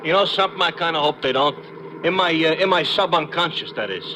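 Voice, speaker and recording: A man's voice: on the high side, fast, natural voice. A man acting a chatty, simple-minded, good-natured cab driver in a 1950s radio drama. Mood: chatty, earnest. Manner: folksy malapropisms, eager chatter. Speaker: actor. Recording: noisy old radio recording.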